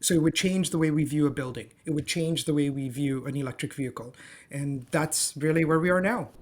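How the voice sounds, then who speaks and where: A man from Dubai with a slightly high voice, answering interview questions over a remote call. He explains with energy, sprinkling in "um" and "you know.". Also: brisk. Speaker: guest, company co-founder. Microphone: calling in remotely.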